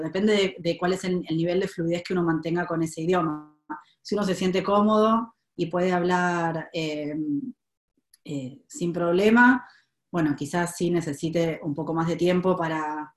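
A woman's voice: lowish voice, a little slow, reverberant. A woman with a Rioplatense Spanish accent giving career advice in a live Q&A, thoughtful, with many hesitations and restarts. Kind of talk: live Q&A webinar talk.

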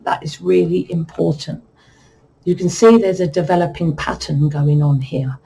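Croaky-voiced woman giving a numerology reading. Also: on the low side, fairly even intonation, normal speed, warm tone, poor audio. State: voice croaky from a cold spell. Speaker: numerology reader. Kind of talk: explanatory numerology reading monologue.

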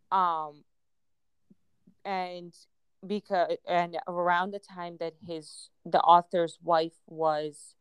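A woman's voice: on the low side, smooth, no echo. A woman from Ukraine whose first language is Russian, co-hosting a casual podcast chat in an earnest, circling way with many fillers. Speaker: podcast co-host.

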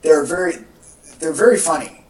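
A man talking casually as a podcast co-host, in a halting, conversational way. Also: a little high. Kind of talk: casual chat about movie news. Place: indoor room with echo. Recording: echoey room, distant from mic.

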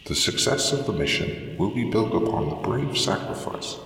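A man voicing a cold, implacable ship's AI in a sci-fi audio drama, speaking in a formal, impassive, measured way. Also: on the low side, sing-song, a bit bright, slight background noise. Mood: coldly insistent. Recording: echoing, distant, long reverberant tail.

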